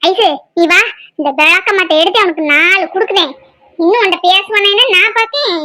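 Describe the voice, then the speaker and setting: A woman's voice: very high voice, a little fast, bright, forceful tone, no echo. A woman acting a feisty, protective village housewife in a drama, blunt and chatty. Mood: indignant.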